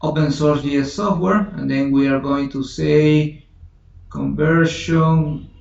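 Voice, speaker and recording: A man whose first language is Spanish, teaching a software tutorial in a halting way, thinking aloud with many restarts and self-corrections. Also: on the high side, fairly even intonation, creaky. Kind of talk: screen-recorded software tutorial. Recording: roomy, distant, dull lo-fi home recording.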